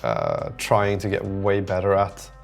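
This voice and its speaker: Low-voiced man thinking aloud, creaky. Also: expressive, unhurried, faint music behind. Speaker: solo app developer vlogger. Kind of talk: unscripted casual vlog monologue.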